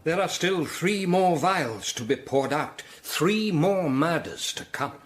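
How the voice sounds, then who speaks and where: An actor playing a grave, commanding archbishop in a period radio drama, formal and authoritative. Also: male voice, a little high, expressive, slow pace. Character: grave, commanding Tudor archbishop, urgent and exacting.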